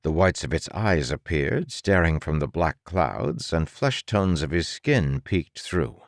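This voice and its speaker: A low-voiced man reads dramatic fiction as an audiobook narrator. His delivery is slow and deliberate, with an expressive storytelling rise and fall and a very dry, close sound. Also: no echo.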